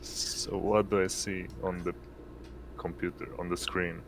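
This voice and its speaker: A man's voice: deep voice, flat delivery, measured pace, noisy background. A man playing a tabletop roleplaying game, chatting casually at the table.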